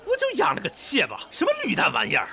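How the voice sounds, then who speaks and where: A man's voice: on the high side, huge pitch swings, fast, vocal fry, bright, forceful tone, some chatter behind, exaggerated voice. An actor playing a crude, foul-mouthed townsman who taunts and mocks in an audio drama. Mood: furious. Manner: mocking, taunting, swearing.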